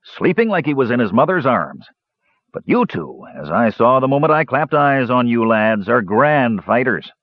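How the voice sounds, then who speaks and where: Man voicing a sly, husky, jovial dwarf. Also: medium pitch, big pitch swings, normal speed, dry recording, highs cut off, voice pushed up. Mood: cajoling. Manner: solemn, cunning, cajoling, mock-innocent. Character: sly, jovial pot-bellied bearded male dwarf adventurer. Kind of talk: audiobook character voice.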